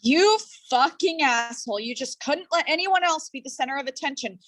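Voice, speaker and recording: Woman acting a high-voiced indignant female filmmaker. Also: animated, moderate pace, a bit bright. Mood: angry, accusing. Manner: accusing, profane. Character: blunt, indignant woman filmmaker, profane and wounded. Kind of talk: staged play reading.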